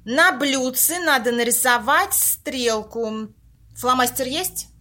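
Bossy, snippy woman running a séance in a radio play, amateur actress: very high voice, grumbling colloquial delivery. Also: lively intonation, slow, bright, forceful tone, full character voice. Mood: businesslike. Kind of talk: amateur audio play acting.

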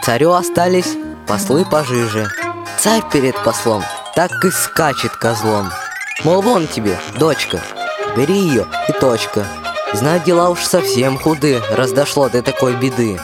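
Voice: medium pitch, animated, unhurried, natural voice. A performer playing a folk jester who narrates a rhymed radio fairy tale in a jaunty storytelling manner. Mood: jaunty, mocking. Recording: loud music behind the voice.

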